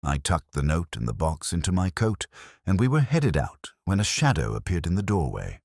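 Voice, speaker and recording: A man's voice: low voice, huge pitch swings, moderate pace, creaky, darkish tone, dry recording, heavily acted. A man voicing a wisecracking tough detective's assistant who narrates a hardboiled audiobook mystery. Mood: wry, brisk.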